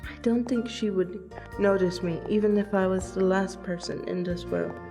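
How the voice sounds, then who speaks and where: A woman's voice: medium pitch, steady tone, music in the background, lightly acted. A woman playing a teasing, affectionate vampire friend in an ASMR roleplay, chatty and theatrical. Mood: wistful, tender. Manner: teasing, conversational, with dramatic pauses and exclamations. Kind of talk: ASMR roleplay audio drama.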